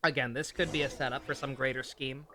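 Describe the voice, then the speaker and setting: Bright-voiced, chatty woman streamer. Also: extremely low pitch, steady tone, fast, a little hiss or hum. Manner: laid-back, wry, chatty. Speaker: game streamer. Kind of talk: casual livestream chat and commentary.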